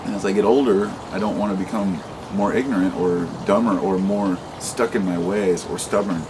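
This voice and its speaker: A man's voice: low voice, moderate pace, background noise. A man in his late thirties talking freely in a vlog monologue, rambling and impassioned, swearing often and restarting his sentences. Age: 30s. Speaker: vlogger. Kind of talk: unscripted monologue to camera.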